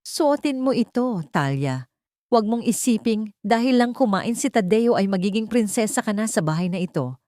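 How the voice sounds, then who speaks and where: Woman narrator doing a mean bitter older aunt in an audio story, bossy and scornful, mellow dry sound. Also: mid-range voice, animated, normal speed, no room sound, pitched above the performer's own voice, lightly acted. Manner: scornful, commanding. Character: cruel, bitter, menacing older aunt of a rich household.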